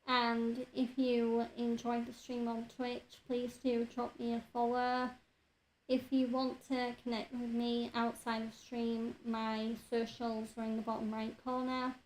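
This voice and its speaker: A woman game streamer chatting casually over her play, her voice slightly croaky from a cold, with relaxed talk and frequent fillers. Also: a little high, a little slow, a little hiss or hum, rough recording. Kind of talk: casual let's-play commentary.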